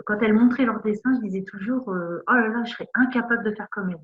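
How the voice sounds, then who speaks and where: A woman's voice: medium pitch, quick pace. A woman from northern France, a student in an online art course, chatting in an enthusiastic, talkative way on a group call. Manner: talkative, enthusiastic, repeats herself. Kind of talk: casual group video call. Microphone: video call. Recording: dull lo-fi call audio.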